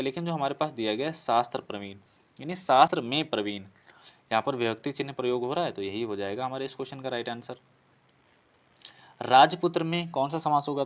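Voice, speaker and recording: A man teaching an exam-prep class, explaining step by step, repeating phrases and answering his own questions. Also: medium pitch. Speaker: teacher for competitive exam Hindi grammar. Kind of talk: classroom lesson working through practice questions.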